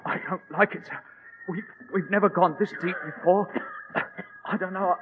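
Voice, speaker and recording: Casting: man as anxious expedition assistant, high-pitched and halting, subservient, vintage radio serial sound, muffled. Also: steady tone, lightly acted. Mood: uneasy. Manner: hesitant, fearful. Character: nervous, deferential archaeologist's male assistant. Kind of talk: 1940s radio drama acting. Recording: muffled, noisy old radio recording.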